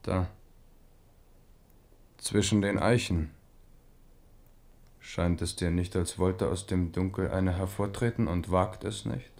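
A low-pitched male voice as an ageing king in a radio drama. He is amorous and wry, musing on women with a wistful edge. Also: fairly even intonation, takes it slowly, creaky, long pauses, darkish tone, heavily acted. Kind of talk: German radio drama acting.

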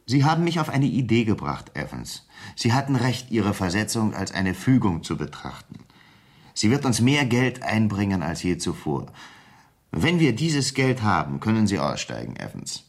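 A man's voice: fairly low pitch, sing-song, normal speed, slightly rough, full character voice. An actor playing a cold, greedy, imperious businessman in a 1970s German radio crime play. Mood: scheming, assured. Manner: imperious, curt, commanding. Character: cold, greedy, domineering businessman running a drug scheme.

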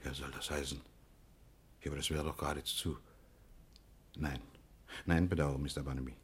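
Deep husky voice, well-mannered pharmacy owner, earnest, sixties radio crime drama. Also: male voice, steady tone, normal speed, exaggerated voice. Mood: troubled, earnest. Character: courteous male pharmacy owner, shaken but protesting. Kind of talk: 1960s German radio crime drama acting.

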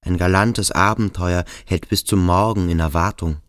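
An actor playing a melancholy, yearning schoolboy thinking aloud in in a radio drama, introspective and literary. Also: male voice, low voice, fairly even intonation, normal speed, fairly bright tone, no room sound. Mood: wistful. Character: melancholy, yearning schoolboy lost in his thoughts. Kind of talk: radio drama acting, interior monologue.

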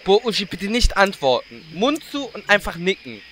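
Man doing a brash, sharp-voiced orc warlock. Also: high voice, sing-song, normal speed, slight background noise. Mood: mocking. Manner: cheeky, whiny, blunt. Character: brash, taunting orc warlock, formerly a gnome.

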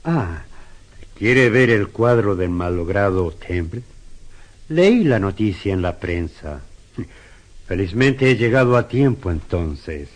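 An actor in a radio drama playing a mysterious, courteous film actor with a formal, suave, deliberate manner. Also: male voice, fairly low pitch, animated. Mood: courteous, confident. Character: mysterious, courteous male film actor offering to pose as devil.

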